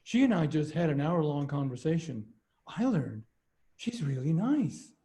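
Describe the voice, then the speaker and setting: A man's voice: fairly high pitch, very animated, measured pace, warm tone. A man performing a reading of jokes and short comic stories over a video call. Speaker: readers theater performer.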